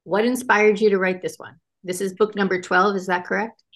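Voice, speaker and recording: A woman's voice: mid-range voice, flat delivery, fairly quick, fairly bright tone. A woman hosting an author interview, giving prepared introductions and questions in an effusive manner. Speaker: show host and producer.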